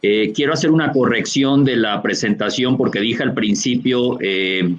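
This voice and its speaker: A Colombian man with a Barranquilla accent speaks as a doctor, explaining at length and pausing often on fillers. His voice sits at a medium pitch. The sound is dull and lo-fi, as on a video call. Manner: explanatory, many fillers and restarts. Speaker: gastroenterologist lecturer. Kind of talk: online medical Q&A answer.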